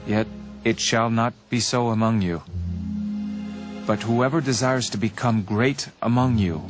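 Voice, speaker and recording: An actor playing a calm, authoritative male teacher in an audio Bible drama, speaking in a solemn, measured, earnest way. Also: mid-range voice, lightly acted. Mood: solemn, instructive. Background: background music.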